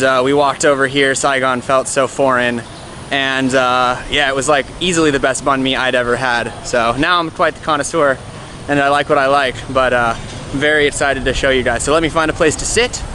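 A young man talking casually and eagerly to a camera held at arm's length, outdoors in a city park, with lots of fillers. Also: mid-range voice. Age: young adult. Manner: chatty, enthusiastic, many fillers. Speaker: travel vlogger. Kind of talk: casual travel vlog. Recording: outdoor street noise.

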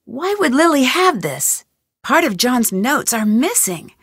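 A bright, inquisitive young girl detective for a mystery game, her voice sitting a touch high and swinging freely up and down as she muses and questions. It is recorded close and dry in a studio, with no room sound around it. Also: sing-song, measured pace, slightly rough, dry recording, full character voice. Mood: puzzled. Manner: inquisitive, thinking aloud while investigating. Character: young female amateur detective, curious and persistent. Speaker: game protagonist. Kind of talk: game voice acting. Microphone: studio microphone.

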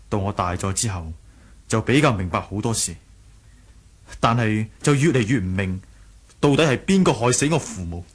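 A man's voice: fairly low pitch, husky. A man playing a kind-hearted, earnest young martial-arts hero in a radio drama, mild, hesitant and sincere. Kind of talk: Cantonese radio drama acting, 1980s.

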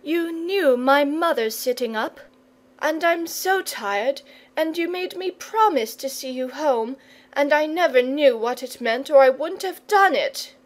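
A woman's voice: high-pitched, animated, unhurried, pitched above the performer's own voice. A woman voicing a tired, plaintive little boy with a piteous, tearful whine in a children's story.

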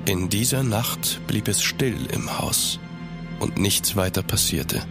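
A man acting an ardent, courteous gentleman traveller in a German gothic audio drama, earnest and measured. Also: fairly low pitch, over music. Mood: grave, narrating.

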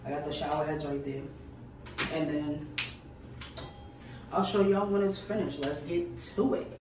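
A woman's voice: deep voice, a little slow. A young woman talking casually to camera in an informal, matter-of-fact way. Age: young adult. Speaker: vlogger. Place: small tiled home bathroom. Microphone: camera a few steps away. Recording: echoey bathroom, distant mic, background noise.

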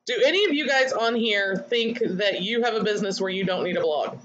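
A woman's voice: mid-range voice, brisk, a bit bright, dull audio, a little hiss or hum. Candid woman coaching a webinar. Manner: candid, chatty, reads out attendees' comments. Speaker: online marketing coach. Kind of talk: webinar teaching.

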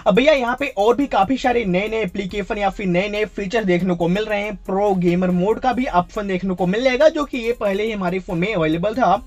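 A man's voice: high-pitched, brisk, few pauses, dry recording. A man hosting a tech channel, giving a casual tutorial voice-over and mixing English phrases into his speech.

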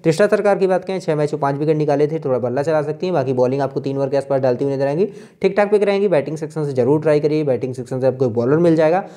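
A man with an Indian accent giving an informal, brisk fantasy cricket preview as a channel host, running through player statistics. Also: a little high, mellow. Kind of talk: informal match preview commentary over on-screen stats.

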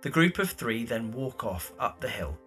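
A man narrates an audio drama's scenes from a script. His bright voice sits at a middling pitch and swoops expressively through each stage direction. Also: big pitch swings, moderate pace, soft background music.

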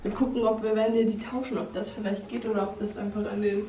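A woman's voice: medium pitch, fast talker, recorded from a distance. A young woman with a cold, chatting casually in her vlog while moving around a house. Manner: chatty, laughing, animated with hand gestures. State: has a cold. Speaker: vlogger. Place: bright empty room in a house, indoors. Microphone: camera mic from a few steps away. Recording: echoey bare room, off-mic.

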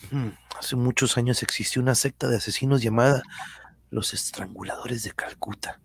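A man playing a solemn, protective adventurer hero in a comic-book radio drama, breathy and dramatic. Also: mid-range voice, moderate pace, pitched above the performer's own voice. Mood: grave, hushed. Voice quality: husky, breathy.

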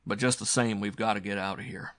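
A man's voice: lowish voice, fairly even intonation, fairly quick, fairly bright tone, close to an everyday voice. Man playing a resolute, commanding adventure hero. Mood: grimly resolute.

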